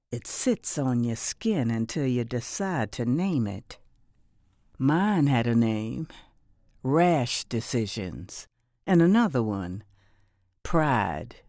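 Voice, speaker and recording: Actress as weary but resilient ex-prisoner woman, middle-aged, slow deliberate pace, intimate reflective audio drama monologue, dry close sound. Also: deep voice, darkish tone, no echo. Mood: earnest, confessional.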